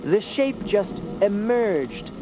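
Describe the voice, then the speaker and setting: An actor playing an eccentric, haughty sculptor haunted by dreams, in a theatrical, brooding radio drama style. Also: male voice, high voice, big pitch swings, takes it slowly, noisy background, full character voice. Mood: brooding, sardonic. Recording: loud music behind the voice. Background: music.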